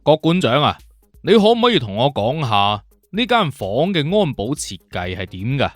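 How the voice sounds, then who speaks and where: Man playing a cocky, nonchalant detective, lively intonation. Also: mid-range voice, no room sound, soft background music, natural voice. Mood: composed. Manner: unhurried, teasing, self-assured. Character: cocky, nonchalant male detective consultant with a moustache. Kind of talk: Cantonese audio drama acting.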